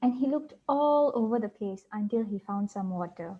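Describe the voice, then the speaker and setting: A woman's voice: medium pitch, expressive, moderate pace. A young female student narrating a children's animal story as scripted readers theater. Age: teenager or young adult student. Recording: slightly dull, muffled audio.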